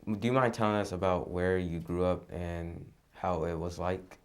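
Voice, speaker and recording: A young man, a student interviewer, asking questions in a slow, halting way. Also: low-pitched, steady tone.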